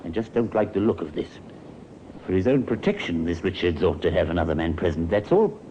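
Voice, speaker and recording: A man's voice: low voice, lively intonation, fairly quick, warm tone. An actor playing a blunt, suspicious man of seventy-five in a 1950s radio drama. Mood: suspicious, stern. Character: gruff, suspicious seventy-five-year-old father. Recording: muffled, noisy old radio recording.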